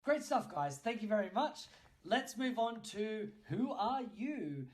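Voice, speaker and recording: Lively, teasing man hosting. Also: sits high, a little slow. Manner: teasing, jokey. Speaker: podcast host. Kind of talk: casual quick-fire interview chat.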